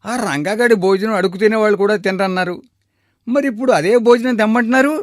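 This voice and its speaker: A man's voice: high voice, fast talker, dry recording, clean studio sound, lightly acted. A man playing a deferential but plain-spoken shop servant in a cartoon moral story. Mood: protesting. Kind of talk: animated moral story dialogue.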